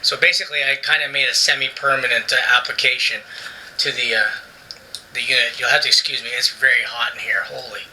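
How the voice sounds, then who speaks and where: A man's voice: medium pitch, steady tone, a little fast, pushed and bright, a little hiss or hum, rough recording. A man casually reviewing his motorcycle helmet headset to camera from a hot indoor room, rambling with lots of fillers and restarts. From Canada. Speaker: motorcycle vlogger.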